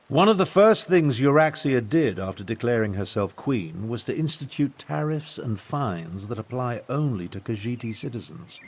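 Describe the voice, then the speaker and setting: A man playing an eloquent, indignant royal half-brother in a video game, speaking in a formal, articulate, theatrical manner. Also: mid-range voice. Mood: bitter, indignant. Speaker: guide. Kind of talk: scripted video game dialogue.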